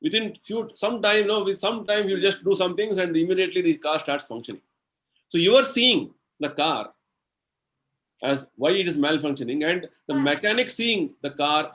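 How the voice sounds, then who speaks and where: A man with an Indian English accent explaining spiritual ideas in a devotional lecture, slow and explanatory, using everyday examples and many "you know"s. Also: high voice, a bit bright, a little hiss or hum. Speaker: spiritual speaker answering questions.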